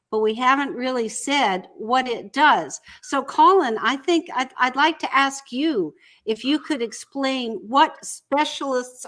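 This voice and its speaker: A woman in a podcast interview, speaking conversationally in a deliberate, polite and explanatory way. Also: on the high side, fairly bright tone. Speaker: interview guest and project team leader.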